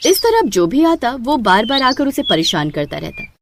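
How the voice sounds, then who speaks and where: Animated woman storyteller. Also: a little high, moderate pace, noisy background. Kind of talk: scripted Hindi moral-story narration.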